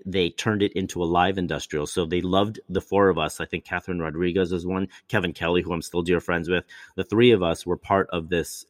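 A man's voice: deep voice, fairly bright tone, dry recording, studio quality. A male actor telling long, rambling stories in casual chat, often restarting and quoting himself. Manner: rambling, many restarts and quoted asides.

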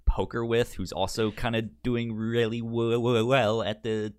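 A man co-hosting a casual film discussion podcast, speaking with a pronounced stutter that repeats sounds and syllables in a halting delivery. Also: mid-range voice, flat delivery, darkish tone, clean tone. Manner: halting, hesitant delivery with frequent restarts. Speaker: podcast co-host.

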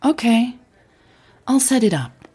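A woman's voice: fairly high pitch, very animated, takes it slowly, dark tone, breathy edge, pitched above the performer's own voice, heavily acted. A woman voicing an easygoing, candid young woman blogger in an audio drama. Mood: brisk, agreeable.

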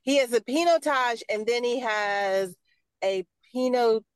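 A woman's voice: fairly high pitch, measured pace, clean tone, no room sound. A woman who runs a wine club, explaining the wines and member discounts in a casual, businesslike way. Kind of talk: casual podcast chat.

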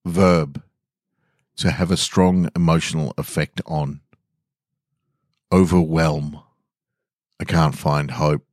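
A man's voice: rumbling low, steady tone, slow, long pauses, darkish tone, dry recording, studio quality. An Australian man hosting a podcast, reading short scripted stories and talking to listeners. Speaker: podcast host and story reader. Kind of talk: scripted narration of short stories with casual host remarks.

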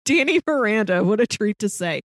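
A woman's voice: medium pitch, expressive, fairly quick, no room sound, studio quality. A woman podcast co-host in a casual film discussion, chatty and musing aloud. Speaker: podcast co-host reviewing films.

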